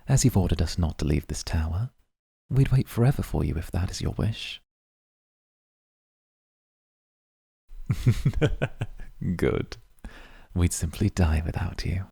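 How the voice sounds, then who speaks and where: A man plays a cool male incubus in an ASMR roleplay, his voice very husky and full of gravelly creak. He speaks darkly and formally, with dry teasing and courtly devotion. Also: sits low, warm tone, no room sound, exaggerated voice. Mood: devoted, attentive. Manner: formal, measured phrasing.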